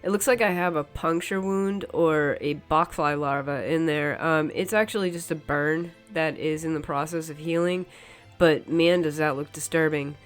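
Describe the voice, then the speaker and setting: Low, smooth-toned woman with a head cold, congested and nasal, relaxed and chatty, a painter narrating her own tutorial. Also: moderate pace, soft background music. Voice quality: stuffy, nasal. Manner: relaxed and chatty, hedges with frequent "kind of" and "um". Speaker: painter demonstrating her work. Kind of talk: casual tutorial voice-over. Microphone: voice-over recorded separately from the footage.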